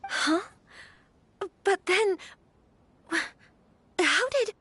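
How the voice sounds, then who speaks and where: A woman's voice: extremely high pitch, expressive, pushed and bright, no room sound, exaggerated voice. A woman playing a young noblewoman in a video game, polite, earnest and anxious, speaking formally and with hesitance. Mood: startled. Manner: formal, measured, sighing hesitance. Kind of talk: English video game voice acting.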